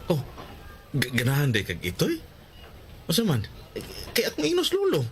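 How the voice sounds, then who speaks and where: An actor playing an easygoing, caring young man in a radio drama, with a Cebuano Filipino accent and a gentle, hesitant manner. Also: on the high side, wildly swooping pitch, moderate pace. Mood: hesitant, curious.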